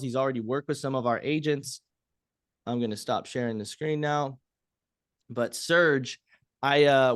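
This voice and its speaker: Host: mid-pitched man, upbeat, rambling, filler-heavy, dry close call audio. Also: no echo. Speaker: agency team call host. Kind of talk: casual team call talk. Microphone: video call.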